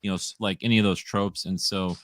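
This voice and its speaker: A man in his twenties with a deep, radio-style voice, talking easily and meanderingly as a comic writer guest in a casual interview. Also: fairly even intonation, fairly quick, a bit bright, no echo. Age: 20s. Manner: easygoing, meandering sentences.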